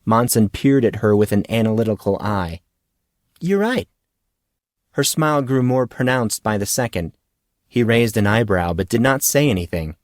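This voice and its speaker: A man's voice: lowish voice, moderate pace, no echo, clean studio sound. A man reading scripted fiction as an audiobook narrator.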